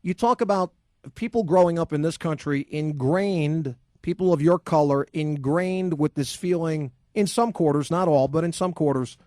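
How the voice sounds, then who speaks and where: A man's voice: a little high, normal speed, mellow, no room sound, studio quality. Ranting sports radio host, man. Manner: combative, ranting, sarcastic. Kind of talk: heated opinion monologue and call-in.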